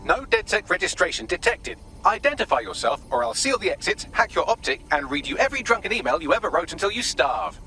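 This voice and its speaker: A man's voice: high-pitched, sing-song, quick pace, few pauses, bright, forceful tone, heavily acted. A man voicing a witty, sarcastic AI assistant with a British accent and a wry, articulate delivery, in a video game. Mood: mock threatening.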